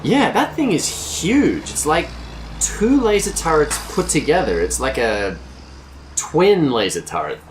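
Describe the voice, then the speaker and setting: A man plays a wary, wisecracking pilot in a sci-fi audio drama. His delivery is expressive and swings widely in pitch, jittery yet bossy, with faint noise behind. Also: measured pace. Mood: wry. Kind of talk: scripted audio drama acting.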